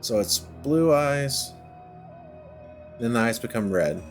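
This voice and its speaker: A man's voice: medium pitch, expressive, slow, soft background music. A man giving casual gameplay commentary, thinking aloud in a hesitant, self-questioning way as he works through a puzzle game. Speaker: video game playthrough host.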